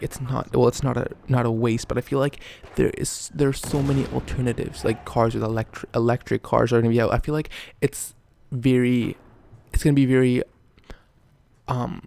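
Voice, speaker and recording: A young man from Canada, rambling and joking through a casual question-and-answer vlog, with a raspy voice because he just woke up. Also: medium pitch, darkish tone. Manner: rambling, self-correcting, joking. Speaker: YouTuber.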